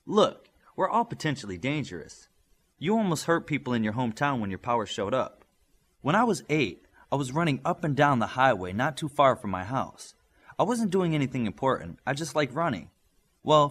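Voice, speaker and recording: A man's voice: mid-range voice, big pitch swings, normal speed, a bit bright. A man voicing a young speedster boy in a superhero audiobook, encouraging, matter-of-fact and explanatory. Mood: earnest, reflective. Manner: reassuring, explanatory.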